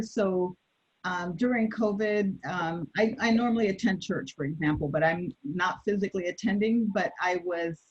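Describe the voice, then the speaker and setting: A woman's voice: medium pitch, a little mellow, breathy edge. A woman panel guest talking reflectively about her daily routines, hesitant with many fillers and restarts. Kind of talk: casual personal sharing on a panel. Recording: dull lo-fi sound.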